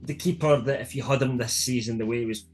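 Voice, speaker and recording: A British man gives his football opinions in loose, bantering podcast chat. His pitch is average for a man, and faint music plays behind him. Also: fairly even intonation, fast talker. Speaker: sports podcast host. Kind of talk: casual football chat with friends.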